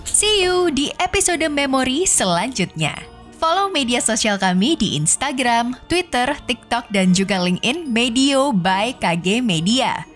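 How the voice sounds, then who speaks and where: A woman presenter speaks in a fairly high voice with very lively intonation. She delivers host patter over light music. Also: unhurried. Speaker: podcast host.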